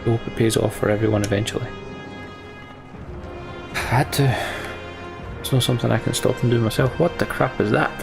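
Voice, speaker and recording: A man's voice: medium pitch, fairly quick, gravelly, pauses often, over music. A man reading story text from a game aloud and adding casual commentary as he plays. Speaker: let's player.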